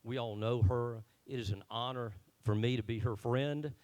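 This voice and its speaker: A man's voice: medium pitch, fairly even intonation, normal speed, a little mellow. A man introducing a guest at a town hall, earnest and a bit unpolished on stage. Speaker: event organizer introducing the speaker. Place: meeting hall.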